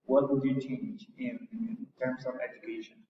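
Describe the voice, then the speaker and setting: A man's voice: mid-range voice, fairly even intonation, a little hiss or hum. Man interviewing in an echoey hall. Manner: upbeat, halting, many fillers. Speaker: interviewer, teacher. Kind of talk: conference interview. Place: conference venue. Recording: echoey hall, distant mic, muffled.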